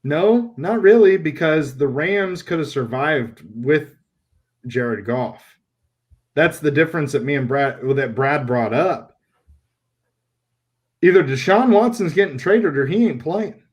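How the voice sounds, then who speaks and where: A man hosting a casual sports talk show, animated and blunt, with a loose, joking delivery. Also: on the high side, unhurried. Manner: animated, laughs, swears casually. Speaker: podcast host.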